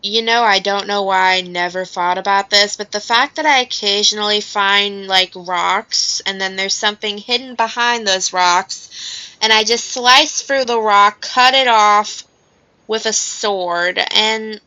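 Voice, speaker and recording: Bright-voiced woman gaming streamer. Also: mid-range voice, a little slow. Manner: rambling, thinking aloud. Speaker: video game streamer. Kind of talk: casual gameplay commentary. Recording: dull audio with game music. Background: video game music.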